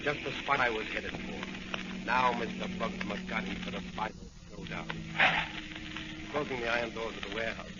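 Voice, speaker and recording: An actor playing a bold, righteous masked crime-fighter in a declamatory 1940s radio style. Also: male voice, fairly low pitch, steady tone, normal speed, lightly acted. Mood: fierce, excited. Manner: declamatory, taunting. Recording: dull, very noisy old radio recording.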